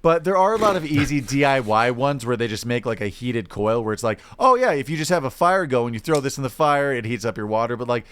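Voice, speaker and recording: Guy co-hosting a podcast, casual chat, rambly animated storytelling. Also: medium pitch, quick pace, hardly any pauses, smooth. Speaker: podcast co-host.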